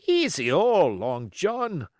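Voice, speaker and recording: A man voicing a gruff, impatient pirate coxswain in an audiobook, complaining emphatically in seafaring dialect. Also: a little high, wildly swooping pitch, slow, a little mellow, no room sound, studio quality, pitched above the performer's own voice, heavily acted. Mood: protesting. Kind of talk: audiobook character voice.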